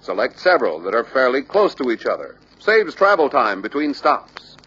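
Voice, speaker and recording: A man reading scripted instructional narration for a sales training film, deliberate and emphatic. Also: medium pitch, big pitch swings, a bit bright, a little hiss or hum. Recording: dull lo-fi old training film soundtrack.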